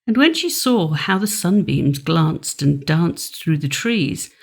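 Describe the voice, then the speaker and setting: A woman narrating a fairy tale audiobook in a measured, expressive storytelling manner. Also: sits low, gravelly, slightly rough.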